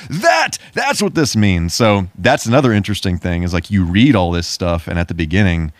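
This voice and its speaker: A man's voice: deep voice, sing-song, normal speed, no echo. A man in a casual, rambling and enthusiastic chat about video games, as a podcast co-host.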